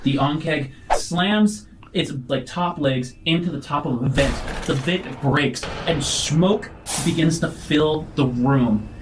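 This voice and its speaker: A man's voice: a little high, slow, noisy background. A man narrating a tabletop game as game master, descriptive and feeling his way through phrases.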